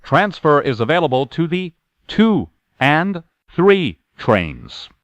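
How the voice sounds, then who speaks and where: Slow male transit announcer, dry sound. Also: fairly high pitch, huge pitch swings, no room sound. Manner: formal, measured.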